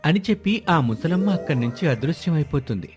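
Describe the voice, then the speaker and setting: A man's voice: fairly high pitch, expressive, brisk, darkish tone, faint music behind. A man narrating a scripted moral story as the storyteller.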